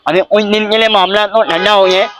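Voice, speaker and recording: A man's voice: sits high, little pitch movement, brisk. A man with a Mumbai accent giving political interview answers as an advocate and local party office-bearer. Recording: faint background noise on location.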